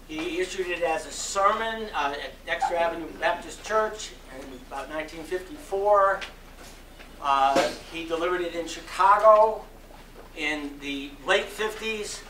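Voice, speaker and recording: A man delivers a dramatic sermon reading in a forceful, bright voice. His phrasing is slow, deliberate and oratorical. The sound is echoey, as if picked up at some distance in a hall. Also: on the high side. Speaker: speaker giving a dramatic reading. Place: community center hall. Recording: roomy echo, distant mic, faint noise.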